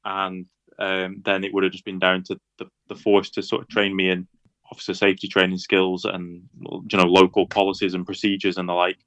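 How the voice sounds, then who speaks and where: A man in his thirties with a Lancashire accent, a guest on a podcast interview, speaking in a measured, hesitant way with many fillers and a dry sense of humour. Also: low-pitched, monotone, fairly bright tone, no echo, lo-fi sound. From Lancashire, England. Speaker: guest, police officer.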